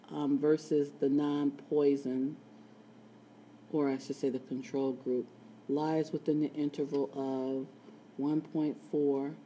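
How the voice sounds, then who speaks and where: A woman teaching a statistics lesson in a screencast, with a raspy voice and playful, chatty asides. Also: deep voice, mellow, a little hiss or hum, poor audio. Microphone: tablet screen recording.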